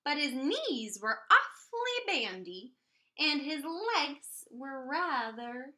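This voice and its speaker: Lady teacher doing storytime for little kids, real bright high voice, drawn out words, asks lots of questions. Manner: expressive, drawn-out words, prompting children with questions. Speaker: preschool teacher reading aloud. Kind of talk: interactive children's storybook read-aloud.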